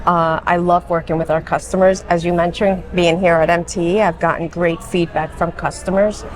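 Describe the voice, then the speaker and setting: Woman w/ a long island accent, on the low side, bit of hiss behind her, chatty and agreeable. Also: flat delivery. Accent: Long Island New York. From Colombia, raised in New York. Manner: friendly, agreeable, quick affirmations. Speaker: guest, education manager. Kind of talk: casual interview.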